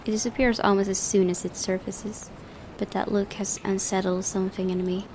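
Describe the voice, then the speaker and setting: A woman's voice: medium pitch, level tone, normal speed, a little mellow, slight background noise, rough recording. A woman hosting a gaming video, reading visual novel narration aloud and adding casual commentary.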